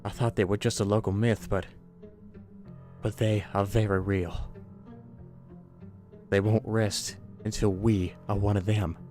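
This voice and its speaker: A man's voice: on the low side, flat delivery, a little slow, pauses often, warm tone, soft background music, lightly acted. A man playing a grave older uncle, a survivalist veteran, in a horror audio story. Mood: grave, urgent.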